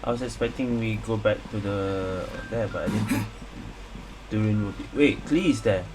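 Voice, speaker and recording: A woman's voice: rumbling low, moderate pace. A woman giving casual reaction commentary as she streams a video game. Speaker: gameplay streamer. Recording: noisy mic with game audio.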